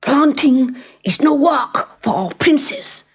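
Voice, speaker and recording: A woman voicing a proud, angry prince who talks in a gruff, imperious manner for a children's story. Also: high-pitched, expressive, takes it slowly, voice pushed up, heavily acted. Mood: grudging. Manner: roaring and mumbling imperiously.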